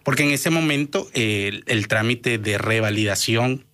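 A man's voice: medium pitch, normal speed, pushed and bright. A man in his thirties from Venezuela with a Venezuelan Spanish accent, a doctor answering interview questions earnestly, with many fillers and restarts. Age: 30s. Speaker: guest, doctor and activist.

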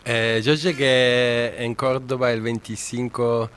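A man from Italy speaking Spanish with an Italian accent as a guest historian on a radio interview, halting with many pauses and fillers. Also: mid-range voice, little pitch movement, fairly bright tone, slight background noise.

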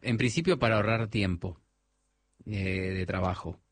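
A man's voice: fairly low pitch, normal speed, dry recording. A man with a mild Argentine accent, a technology guest on a radio interview, explaining at length with frequent restarts.

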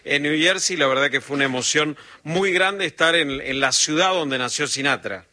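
Bright-voiced Argentine radio host. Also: male voice, fairly high pitch, normal speed. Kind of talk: radio interview.

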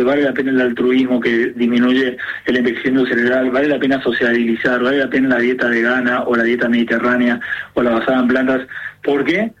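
A man's voice: mid-range voice, highs cut off. A man with an Argentine accent, a gerontologist explaining earnestly in a radio interview, with many fillers. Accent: Argentine Spanish. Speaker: gerontologist guest.

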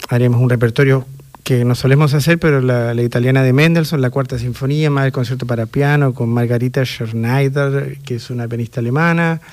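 A man with a Chilean accent, speaking as an orchestra conductor in a radio interview. His voice sits at a middle pitch and is recorded dry and close. He speaks thoughtfully and pauses often on fillers. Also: steady tone, darkish tone, dry recording. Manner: thoughtful, hesitant with many fillers.

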